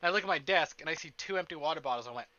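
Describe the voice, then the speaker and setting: A man chatting casually to livestream viewers in an animated, self-interrupting, playfully profane way, as host of a game stream. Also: a little high, very fast, no echo, dull audio. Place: indoors at a desk.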